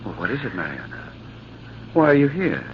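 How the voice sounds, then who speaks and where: Proud army captain, anguished, formal, sweeping intonation, muffled old radio drama, background noise. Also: male voice, low-pitched, huge pitch swings, a little fast, exaggerated voice. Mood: tender, anguished. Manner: theatrical, formal, declamatory. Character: proud army captain and skilled duelist, anguished, honor-bound. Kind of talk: 1940s radio drama acting.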